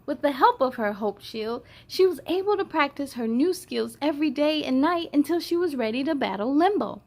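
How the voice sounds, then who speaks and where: A woman's voice: high-pitched, lively intonation, normal speed, a little mellow. A woman hosting a children's story time, reading and chatting expressively and speaking to young viewers in an encouraging way. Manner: encouraging, addresses children directly. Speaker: children's storytime host and reader. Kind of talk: expressive read-aloud narration for young children.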